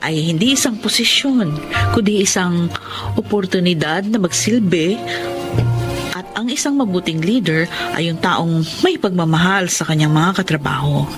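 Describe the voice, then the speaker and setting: A Filipino actress playing a kind, grateful woman boss in a radio drama, with emotional, warm delivery. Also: on the low side, normal speed, music in the background, natural voice. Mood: reflective, sincere.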